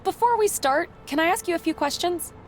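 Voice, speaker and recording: A woman's voice: extremely high pitch, lively intonation, brisk, a little hiss or hum. An actress playing a polite, inquisitive female newspaper reporter in a video game, speaking in a probing way. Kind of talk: video game character dialogue.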